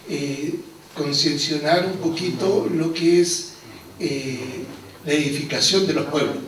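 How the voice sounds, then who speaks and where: A man from Chile speaking at a panel about his house, hesitant, with many fillers. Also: fairly high pitch. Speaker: hotel owner speaking at a panel. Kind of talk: unscripted public remarks. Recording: echoey hall, distant mic, faint noise.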